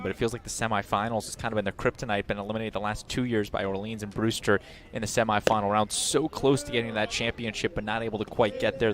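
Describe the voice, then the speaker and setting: A young American man works as color commentator on a live baseball radio call. His voice is on the low side and he talks quickly, as an analyst filling in between plays. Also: fairly bright tone. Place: outdoor baseball stadium broadcast.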